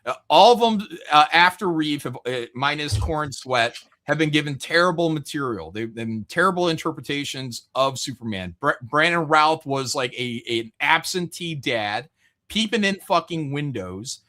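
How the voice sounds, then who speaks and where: A man's voice: a little high, expressive, moderate pace, pushed and bright. A middle-aged man talking casually and emphatically in an opinionated pop culture debate, swearing and repeating his points. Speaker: livestream host.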